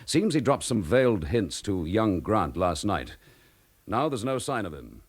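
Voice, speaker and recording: An actor with a British accent playing a stern, weary, dutiful chief inspector in a radio drama, clipped and authoritative. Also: male voice, fairly low pitch, very animated, a little slow, exaggerated voice. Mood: brisk, harried.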